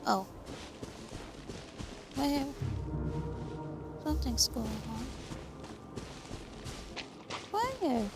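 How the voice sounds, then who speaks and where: A woman's voice: high-pitched, sing-song, very slow, long pauses. A woman streamer giving bemused, blunt reactions to a game in short casual bursts, swearing as she goes. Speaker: gaming streamer. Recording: game music loud behind the voice.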